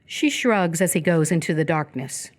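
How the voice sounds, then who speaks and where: A woman reading scripted narration for live radio theatre in a measured, descriptive way. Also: fairly low pitch.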